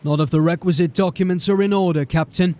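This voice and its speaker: A man's voice: high voice, steady tone, fast, mellow, natural voice. A man playing a stern male city watch sergeant who questions people in a formal, suspicious way. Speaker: police sergeant.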